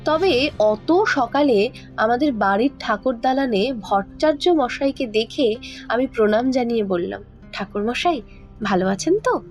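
A woman's voice: a little high, animated, a little slow. A woman reading scripted first-person narration for a dramatized audio horror story. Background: faint background music.

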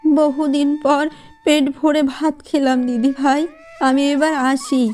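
A woman voicing a gentle, weary old fox beggar granny in a children's cartoon, plaintive and affectionate. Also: high-pitched, level tone, a little slow. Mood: gentle, grateful.

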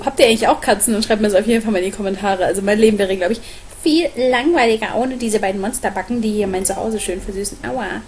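A woman's voice: mid-range voice, a little mellow, slight background noise. A young adult woman chatting casually and informally to camera as a vlogger, recorded at home on a webcam. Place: small room at home, on a sofa.

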